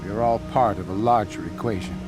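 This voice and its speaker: A man's voice: on the low side, quick pace, natural voice. A man playing a stoic scholar in a fantasy video game, speaking formally and precisely with a dry delivery. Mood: solemn. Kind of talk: video game cutscene acting. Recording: voice over loud cinematic music.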